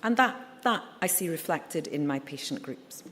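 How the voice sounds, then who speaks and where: A middle-aged woman with a Scottish accent gives a conference presentation, her voice sitting low. She talks candidly, with plenty of ums and uhs. Her words carry with a lingering reverb and faint background noise. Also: expressive, normal speed, fairly bright tone. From Scotland. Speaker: liver surgeon giving a conference talk. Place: conference hall. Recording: reverberant hall.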